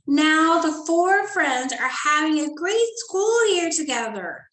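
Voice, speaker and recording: A woman teacher reading a story to young children and going over a study guide, talking gently and checking in with "okay?" often. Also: high voice, animated, slow, roomy sound. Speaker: first grade teacher.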